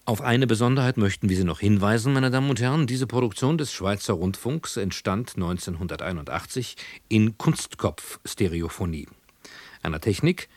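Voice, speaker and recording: Male radio announcer, lowish voice, formal scripted delivery, close dry studio sound. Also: a little mellow, no echo.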